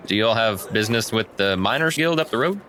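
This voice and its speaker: A man acts out an obliging tavern keeper in a fantasy tabletop game. He is low-voiced and folksy, rambling with lively swings, over faint noise. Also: fast talker. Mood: chatty. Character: chatty, obliging male tavern keeper sharing local legend.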